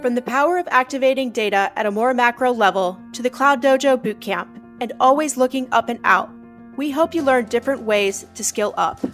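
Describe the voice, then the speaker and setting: A woman's voice: on the high side, a little fast, a bit bright. A woman reading a scripted podcast outro in a polished, upbeat way. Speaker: podcast announcer. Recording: faint music underneath.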